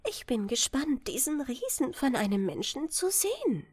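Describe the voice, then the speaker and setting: A woman voicing a delicate, refined young princess in a fairy tale, soft, dainty and curious. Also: high voice, big pitch swings, moderate pace, dry recording, clean studio sound, voice pushed up. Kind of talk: fairy-tale storybook reading.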